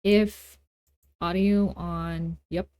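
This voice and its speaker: A woman hosting a casual software tutorial, talking in an informal, playful and self-deprecating way. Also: fairly low pitch, fairly even intonation, very slow, clean tone, dry recording, studio quality. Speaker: tutorial host.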